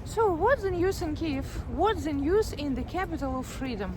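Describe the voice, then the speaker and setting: A woman's voice: sits high, animated. A woman with a strong Ukrainian accent giving an earnest, heartfelt personal video update. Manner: earnest, heartfelt, speaks deliberately. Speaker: vlogger, teacher. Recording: clear background vehicle noise.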